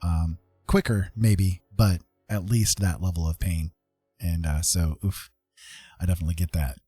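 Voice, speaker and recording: Low, husky American man chatting. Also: steady tone, mellow, no echo, soft background music. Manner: halting, often repeats words. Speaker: livestream host. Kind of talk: casual livestream chat.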